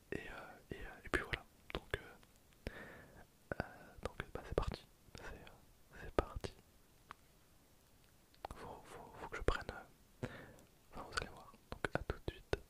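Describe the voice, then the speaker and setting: A man whispering casual, breathy ASMR commentary over gameplay in an informal way. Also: a little slow, pauses often, bright, forceful tone. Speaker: gaming video creator.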